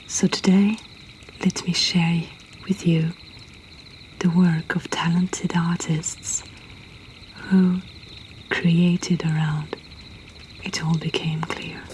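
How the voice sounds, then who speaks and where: A woman softly whispering a poetry reading as an intimate storyteller host, breathy and deliberate with long pauses. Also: on the low side, flat delivery, slight background noise, low-quality recording.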